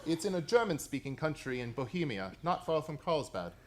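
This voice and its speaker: Radio drama brilliant Victorian sleuth: highish male voice, very animated, precise, politely condescending. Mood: keen, instructive. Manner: brisk, precise, theatrical, condescendingly courteous. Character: shrewd, self-assured Victorian male detective, courteous but superior. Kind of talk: radio play acting.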